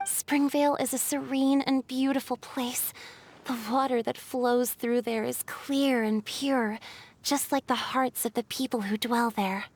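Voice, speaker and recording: A woman voicing a gentle, wistful female water spirit in a video game, speaking earnestly like a storyteller. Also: high voice, steady tone, unhurried. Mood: wistful confession. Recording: background music under dialogue.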